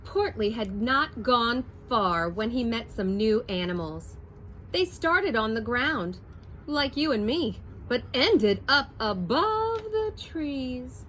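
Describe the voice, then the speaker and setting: A woman teacher reads a picture book aloud to young children, taking her time with each line. Her pitch sits a little high and swings widely as she tells the story. A faint hum of room noise stays underneath. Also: poor audio. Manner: slow, expressive storytelling voice. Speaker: first grade teacher.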